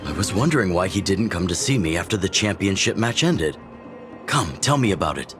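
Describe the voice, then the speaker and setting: Older mentor fighter in a game, real deep voice, fatherly storyteller vibe, faint music under. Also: lively intonation, fairly bright tone. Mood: fond, musing. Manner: unhurried, reminiscing, fatherly. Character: older male fighting mentor, fond, easygoing and reflective. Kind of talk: video game voice acting, storytelling.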